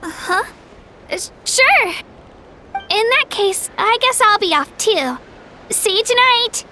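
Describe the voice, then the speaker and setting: A woman playing a bubbly, energetic young girl adventurer in an anime-style video game, eager and full of exclamations. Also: extremely high pitch, slow, a little hiss or hum, exaggerated voice. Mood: cheerful, hurried. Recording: faint music behind the voice. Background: game music.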